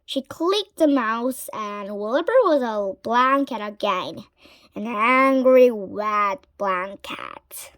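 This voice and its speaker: Slow, high-voiced woman reading a storybook. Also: fairly bright tone, no room sound, studio quality. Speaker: storybook reader. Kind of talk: children's story read aloud.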